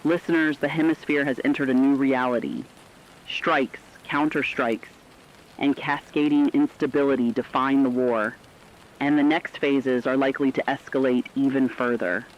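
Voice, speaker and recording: A man playing a serious radio war correspondent in a formal, measured scripted news broadcast. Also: mid-range voice, fairly even intonation, muffled recording, natural voice. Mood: grave.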